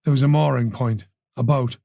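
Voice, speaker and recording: A narrator voicing a calm, protective male senior detective with an Irish accent, reasonable and soothing, in an audiobook. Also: mid-range voice, moderate pace, vocal fry, no room sound, studio quality. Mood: grave. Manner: steady, soothing, easy and unhesitating.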